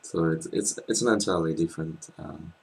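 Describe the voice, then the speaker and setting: A man in his twenties with a French accent, chatting casually as a guest, hesitant with many pauses and restarts, recorded on a laptop webcam in a small room. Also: low-pitched, vocal fry, husky. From France. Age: 20s. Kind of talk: casual interview chat.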